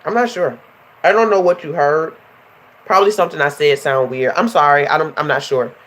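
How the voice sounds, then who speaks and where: A young woman, a life coach hosting a casual livestream and chatting directly to her viewers. She sounds stuffy and nasal from a runny nose, and a little hoarse. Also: sits low, fairly quick. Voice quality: slightly hoarse.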